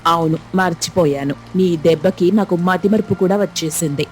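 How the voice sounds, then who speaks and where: A woman's voice: on the low side, normal speed, darkish tone, slight background noise, natural voice. A woman voicing an exasperated middle-aged mother-in-law who scolds and complains in a dramatized cartoon story.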